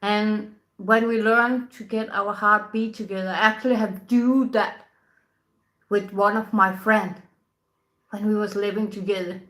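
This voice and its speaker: A woman, a tantra teacher talking casually to camera in soft, unhurried English, often laughing between thoughts. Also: medium pitch, steady tone, rough recording. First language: Danish. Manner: soft-spoken, unhurried, laughs often, non-native grammar. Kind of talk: casual spoken talk to camera.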